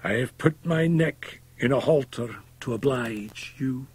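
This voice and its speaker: A man voicing a frightened, guilt-stricken young medical student, hoarse and anguished, protesting as he speaks. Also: medium pitch, unhurried, pitched above the performer's own voice. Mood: hoarse reproach. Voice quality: hoarse, strained.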